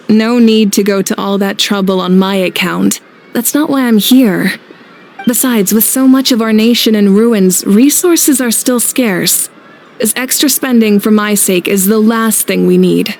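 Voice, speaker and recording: A woman playing a composed, gracious and humble nation leader in an anime fantasy game, speaking in a measured way. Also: mid-range voice, a little mellow, slight background noise, lightly acted. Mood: reassuring. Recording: faint background game music.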